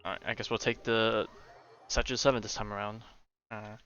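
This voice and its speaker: A man's voice: lowish voice, little pitch movement, normal speed, fairly bright tone. A young man streaming a game, giving casual commentary and musing aloud. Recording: dull microphone, game music behind. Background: game music.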